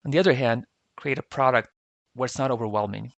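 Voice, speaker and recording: A man's voice: mid-range voice, fast talker, creaky, dry recording, highs cut off. Male podcast host with a dry, close sound. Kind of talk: interview questions.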